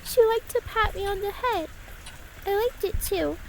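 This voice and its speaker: A woman voicing a frightened, grieving little girl in a fan audio drama, speaking in a timid, halting, childlike way. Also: extremely high pitch, creaky, a little hiss or hum, low-quality recording. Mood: wistful, grieving.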